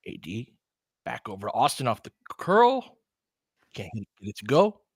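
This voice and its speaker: A man's voice: medium pitch, wildly swooping pitch, normal speed, husky, no echo, clean studio sound. A man hosting a casual fan livestream, calling basketball in short clipped phrases mixed with chatty asides. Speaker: play-by-play host.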